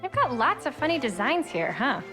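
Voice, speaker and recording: Perky, animated young female soldier. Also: sits high, moderate pace, music in the background. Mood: upbeat. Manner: breezy, informal. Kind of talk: video game voice acting.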